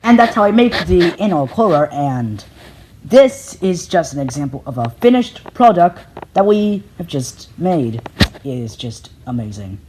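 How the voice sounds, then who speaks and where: A filmmaker narrating a behind-the-scenes vlog in a boastful, halting, repetitive way. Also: medium pitch, big pitch swings, noisy background. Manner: boastful, self-congratulatory. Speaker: filmmaker making analog horror videos.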